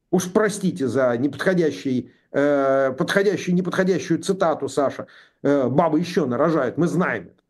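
A man in his sixties talking politics, indignant and sarcastic, with halting speech full of pauses and fillers. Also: high voice, darkish tone. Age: 60s. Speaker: political commentator. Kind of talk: political discussion.

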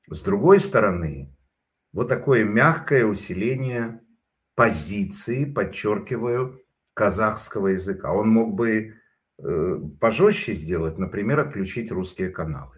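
A man's voice: lowish voice, lively intonation, moderate pace. A man giving casual spoken commentary, telling stories in a digressive way with frequent hesitations.

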